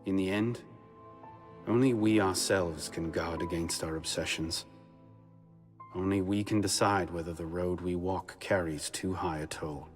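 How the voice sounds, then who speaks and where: An actor playing a reflective, world-weary assassin, delivering a solemn, deliberate closing monologue in a video game. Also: male voice, deep voice. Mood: solemn, rueful. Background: music.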